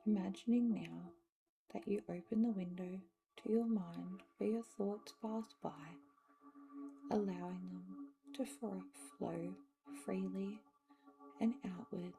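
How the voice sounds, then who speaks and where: A woman leading a guided meditation in a soft, soothing style, speaking in short, separated phrases with long pauses. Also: mid-range voice, fairly even intonation, vocal fry, poor audio. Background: meditation music with singing bowls.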